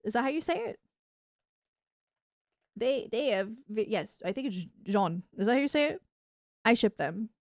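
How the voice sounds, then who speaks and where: A woman's voice: on the high side, animated, normal speed, pauses often, no room sound, studio quality. A woman livestreamer chatting casually with her viewers in an enthusiastic, emphatic gamer way. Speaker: video game livestreamer.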